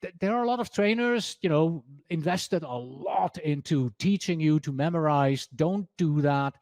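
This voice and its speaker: A man's voice: a little high, normal speed, a little mellow, no echo, studio quality. A man from Switzerland with a Swiss German accent, presenting an exam prep lesson in a chatty way, reading quotes from his slides and correcting himself as he goes.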